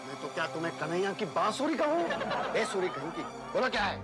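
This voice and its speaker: High-voiced henpecked husband. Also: male voice, very animated, fast, loud music behind the voice. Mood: blustering, bossy. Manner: pleading, overwrought, theatrical. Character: meek henpecked husband trying to act manly. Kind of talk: broad Indian TV sitcom acting.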